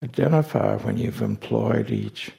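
A man leading a guided meditation as a teacher, speaking deliberately in short phrases with long pauses between them. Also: mid-range voice, gravelly, dark tone.

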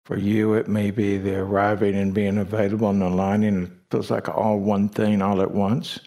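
A man leading a guided meditation as a teacher, speaking deliberately in short phrases with long pauses between them. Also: lowish voice, little pitch movement, warm tone. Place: meditation retreat hall.

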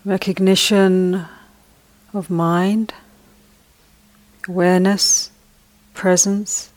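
A woman meditation teacher leading a guided meditation, speaking soft, gentle instructions with long pauses between phrases. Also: mid-range voice, flat delivery.

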